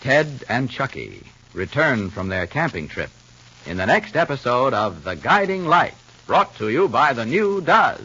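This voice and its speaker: An American man working as a radio announcer, reading vintage soap advertising in a slightly raspy voice. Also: medium pitch, big pitch swings, unhurried, sharp tone, slight background noise. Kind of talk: 1950s radio advertising read. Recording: dull vintage radio recording.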